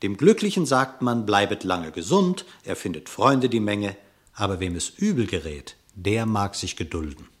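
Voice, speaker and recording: Low-voiced man declaiming dramatic verse. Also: normal speed. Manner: declamatory, expressive storytelling. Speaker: narrator. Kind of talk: dramatic verse narration, German radio play.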